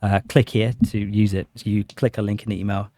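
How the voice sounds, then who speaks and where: Fast-talking man, mellow voice. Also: fairly low pitch, little pitch movement, no room sound. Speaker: interview guest, sales director. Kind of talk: conversational product explanation.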